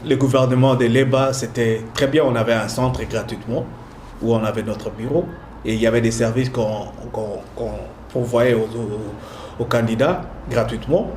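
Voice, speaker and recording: A man from Congo being interviewed as a community entrepreneur, speaking in a measured way, listing his roles. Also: mid-range voice, fairly even intonation, a little mellow. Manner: measured, speaks in lists, uses sayings. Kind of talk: interview answers. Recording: echoey room with clear noise.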